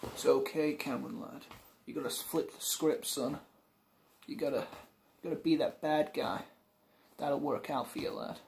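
A man's voice: on the high side, moderate pace, gravelly, long pauses, darkish tone, a little hiss or hum. A young man acts as a self-assured dating guru in a comedy skit. He sounds laddish and chavvy, full of cocky advice. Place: small living room.

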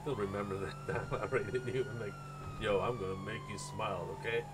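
A man's voice: on the low side, huge pitch swings, a little fast. A man streaming a role-play game live, bantering playfully with plenty of swearing and exclamations. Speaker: streamer role-playing a paramedic. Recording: sirens and vehicle noise behind. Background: game sirens and vehicle sounds.

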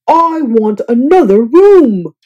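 A woman's voice: on the high side, big pitch swings, takes it slowly, lo-fi sound. A woman voicing a grumpy, sleepy, demanding man staying at a hotel, grumbling and fuming in a storybook reading. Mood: annoyed, demanding.

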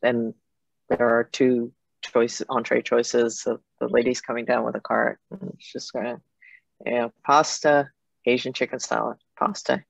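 Middle-aged woman from Japan, interview guest; creaky voice, thoughtful halting storytelling, dry but dull call audio. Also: a bass voice, dry recording. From Japan, with years in Germany and Italy. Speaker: interview guest, foundation president. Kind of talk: conversational interview answers, personal storytelling. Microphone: computer mic on a video call.

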